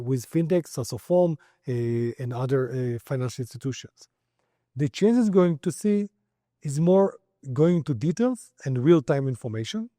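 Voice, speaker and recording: A man's voice: a little high, mellow, dry recording, studio quality. A middle-aged man with an Israeli accent, giving explanatory answers as an expert in an interview. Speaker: company founder and credit expert interviewee.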